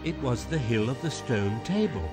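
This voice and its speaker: A man narrating a radio drama in an unhurried, expressive storyteller's reading. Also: medium pitch. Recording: studio narration with music beneath.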